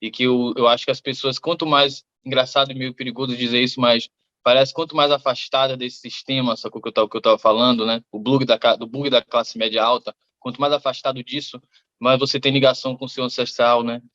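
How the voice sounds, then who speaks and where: A man's voice: medium pitch, level tone, fairly quick, a bit bright, lo-fi sound. A man from Salvador, a musician answering interview questions in a reflective, rambling way full of fillers. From Salvador, Bahia, Brazil. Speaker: musician guest.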